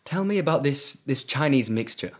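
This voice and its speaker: An actor playing a youthful, imperious and curious emperor in a radio drama, with a regal, inquisitive manner. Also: male voice, mid-range voice, expressive, moderate pace, lightly acted. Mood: curious, amused.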